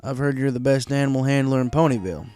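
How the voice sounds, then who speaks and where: Storybook stallion read by a man: big polite farm horse, unhurried temper, folksy and plainspoken. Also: medium pitch, warm tone, lightly acted.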